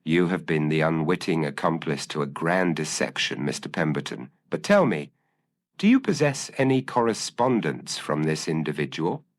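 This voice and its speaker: A man voicing a shrewd, commanding Victorian detective in an audio storybook, speaking formally and deliberately. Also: extremely low pitch, very animated. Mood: probing, grave.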